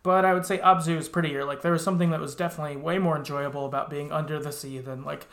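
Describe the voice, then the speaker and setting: A woman with a creaky voice chats casually about games. She sounds agreeable and a little tentative. The recording is roomy, with clear echo. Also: deep voice, steady tone, fast, a bit bright. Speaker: podcast co-host discussing video games. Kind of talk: casual chat, game review.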